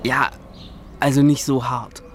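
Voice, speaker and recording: An actor playing a halting, defensive but stubborn young man in a naturalistic radio drama. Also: mid-range voice, fairly even intonation, slight background noise, lightly acted. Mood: hesitant. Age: young adult. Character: hesitant, stubborn young man about to leave town.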